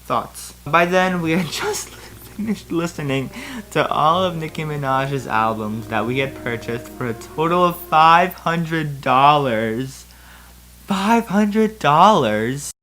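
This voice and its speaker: Bright-voiced man in theatrical comic commentary. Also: fairly high pitch, expressive, takes it slowly. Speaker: YouTube host reading fan fiction. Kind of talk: comedic reading with casual commentary.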